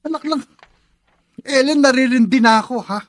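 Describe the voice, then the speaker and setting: Casting: threatening young Filipino husband, unusually high pitch, callous, snappish radio drama delivery. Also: male voice, normal speed. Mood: angry, blaming. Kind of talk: Filipino radio melodrama acting.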